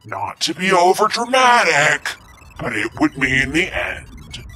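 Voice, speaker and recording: A man playing a secretive handler whose voice sounds synthesized, speaking in slow, ominous, commanding tones in an audio drama. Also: fairly high pitch, huge pitch swings, bright, forceful tone, a little hiss or hum, full character voice. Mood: dry menace. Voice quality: synthesized, processed voice.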